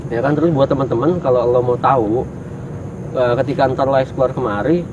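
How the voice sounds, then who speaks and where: A man's voice: medium pitch, fairly even intonation, brisk, distant mic, muffled recording, background noise. A man with a cold, co-hosting a ghost-hunting vlog and telling viewers about an abandoned factory at night. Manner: chatty, explains eagerly to viewers. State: has a cold. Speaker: co-host of a ghost-hunting channel. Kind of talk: casual chat on location.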